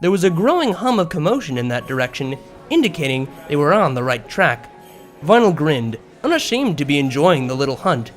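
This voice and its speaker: A man reading a story aloud as an expressive narrator, in scripted prose. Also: on the high side, fairly quick. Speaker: narrator of a fan fiction story. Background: background music.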